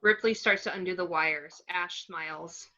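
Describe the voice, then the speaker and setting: Female narrator, lower pitch, sharp bright tone, screenplay stage directions, muffled lo-fi recording. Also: normal speed. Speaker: narrator reading action descriptions.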